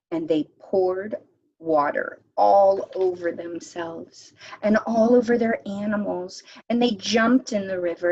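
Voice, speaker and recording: A woman teacher reading a story aloud expressively, with deliberate dramatic pauses and hushed phrases. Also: medium pitch, gravelly, highs cut off.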